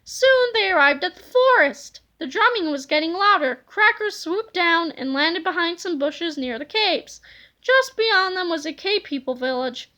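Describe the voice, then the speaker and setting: Woman narrator, very high pitch, bright tone, expressive children's read-aloud. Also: measured pace.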